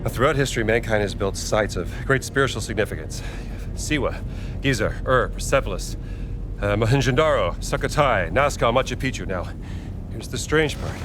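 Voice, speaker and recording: An actor playing a rugged, wry American archaeologist adventurer who explains things in a dry, halting way in a cinematic game scene. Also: male voice, mid-range voice, lively intonation, music in the background, lightly acted. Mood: wry, explaining. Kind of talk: cinematic video game dialogue.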